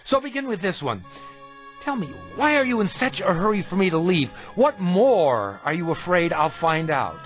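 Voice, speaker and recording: A feverish traveler in a radio drama, weak yet defiant, his pitch rising and falling sharply as he presses accusing questions. Soft music sits underneath. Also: very animated, normal speed. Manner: probing, indignant, sharp questions. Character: ill, defiant male traveler confronting monks. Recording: radio play with music behind.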